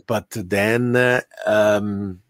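Slow, halting male podcast host. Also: on the low side, fairly even intonation, clear, resonant tone, dry recording. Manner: slow and halting, many uh fillers. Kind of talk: live interview.

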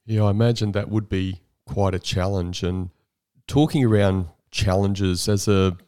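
A man hosts an interview and asks his guest questions. His voice is very deep and dark, with a smooth, resonant sound. He keeps a calm, encouraging manner. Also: a little slow. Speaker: interviewer host.

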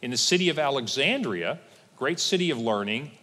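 Husky-voiced pastor with lively pitch. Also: male voice, a little fast, in a large hall. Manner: explanatory, with lively pitch swings and dry asides. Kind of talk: teaching sermon. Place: church sanctuary.

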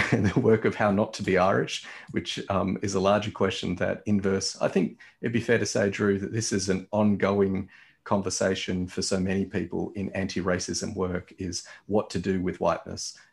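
Man, very deep gravelly voice, slow halting host, searching for words. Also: fairly even intonation. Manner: halting, many fillers and restarts. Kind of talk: reflective conversation.

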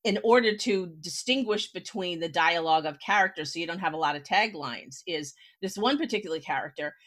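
A woman's voice: lowish voice, expressive, brisk, a bit bright. A woman with an American accent hosting a conversational interview, explaining her points emphatically with examples. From United States. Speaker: podcast host. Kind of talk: conversational interview about writing.

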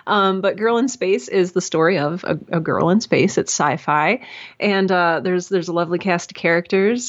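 A woman's voice: fairly low pitch, highs cut off. An American woman guest on a podcast, chatting in a bubbly way with frequent laughs and restarts. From United States. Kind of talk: podcast interview.

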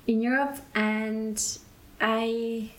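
A young woman from Belgium talks about her mission school training. Her voice sits a little on the high side. She speaks slowly and thoughtfully, pausing and restarting as she finds the right words. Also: level tone, a bit bright, poor audio. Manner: unhurried, searching for words, restarts. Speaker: interview guest, mission school student. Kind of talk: casual interview answers.

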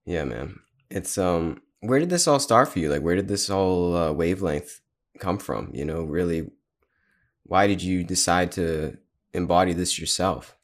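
A laid-back man in his twenties with a very deep voice. He hosts a relaxed chat, agreeing easily and tossing in a friendly "man" now and then. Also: normal speed. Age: 20s. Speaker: podcast host. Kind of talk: casual podcast conversation.

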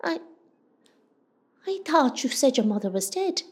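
Woman acting older Irish mammy, West Cork accent, high-pitched, very animated, nosy, warm-hearted, radio drama. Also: measured pace. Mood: shocked. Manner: chatty, exclamatory, familiar. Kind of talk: amateur radio play acting.

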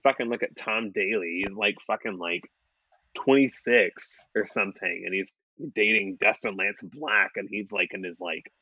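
Guy late 20s, bright voice, rambly podcast chat full of restarts, dry kinda dull mic. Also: fairly low pitch, moderate pace, husky, no echo. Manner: rambling with many restarts and fillers, swears casually. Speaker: podcast co-host. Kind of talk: casual chat.

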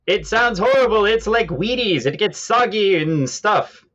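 An excitable old-time radio pitchman, hawks cereal in a showy, exaggerated style. His voice is pushed up high in the manner of 1940s advertising. The recording sounds slightly dull. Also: measured pace. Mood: excitable, insistent. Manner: exaggerated, booming showman delivery.

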